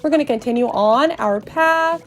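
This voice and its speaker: High-voiced woman playfully narrating a video game. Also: normal speed. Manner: cutesy, expressive, storyteller-like, uses sound words. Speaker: podcast host doing a dramatic read-along of a video game. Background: music.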